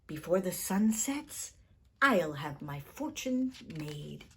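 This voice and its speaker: Woman playing a cocky young man, very animated. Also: on the low side, takes it slowly, slight background noise, pitched below the performer's own voice, exaggerated voice. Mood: excited, scheming. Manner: bold, boastful, demanding. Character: cocky, greedy young Irish man seeking treasure.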